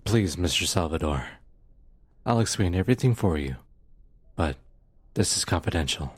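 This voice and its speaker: A man playing a calm, formal police detective in an audio roleplay, measured and serious. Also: low-pitched, husky, dry recording. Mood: calm, official.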